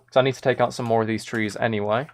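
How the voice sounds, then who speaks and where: Gravelly-edged man muttering over a game. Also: medium pitch, steady tone, racing. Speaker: livestreamer. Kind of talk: casual gaming commentary. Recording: keyboard typing and clicking noise. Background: keyboard and mouse clicks.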